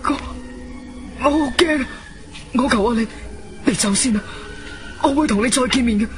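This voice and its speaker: An actor playing a frightened, timid young man with a Cantonese accent, pleading in halting, repeated phrases in a radio drama. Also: extremely high pitch, a bit bright, soft background music. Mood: panicked pleading.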